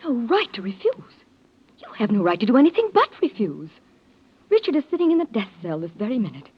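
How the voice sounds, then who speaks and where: Female, scheming melodrama heiress: husky, highish, theatrical and emphatic, on muffled old radio. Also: very animated, brisk. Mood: indignant, urgent. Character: scheming heiress, outwardly pleading and sympathetic, intense. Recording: muffled vintage radio recording.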